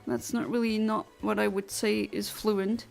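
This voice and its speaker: Woman, gravelly fry, blunt and literal, casual streamer chat. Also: medium pitch, flat delivery, unhurried, darkish tone, soft background music. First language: Luxembourgish. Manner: blunt, literal and chatty, with many restarts. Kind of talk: casual chat answering a livestream chat.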